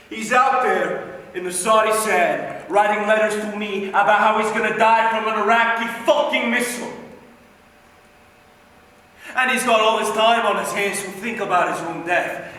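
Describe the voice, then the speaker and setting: A male actor plays an anguished, streetwise younger man delivering a theatrical monologue. His voice is high and bright, emphatic and full of swearing, broken by dramatic pauses. It is recorded from a distance in a very reverberant hall. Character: anguished, foul-mouthed younger man grieving over his soldier brother. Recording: very echoey hall, distant mic, faint noise.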